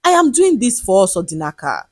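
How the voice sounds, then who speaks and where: A woman voicing a gentle, weary, wronged wife in a folktale, speaking in a quiet, pleading manner. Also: medium pitch, very animated, a little fast, breathy edge, no room sound, studio quality. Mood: hurt, pleading.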